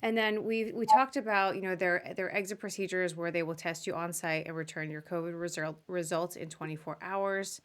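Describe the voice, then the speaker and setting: A woman's voice: on the low side, fairly even intonation, normal speed, hardly any pauses. A woman hosting a casual podcast interview, chatting informally as she puts questions to a guest. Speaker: podcast host interviewing travel professionals.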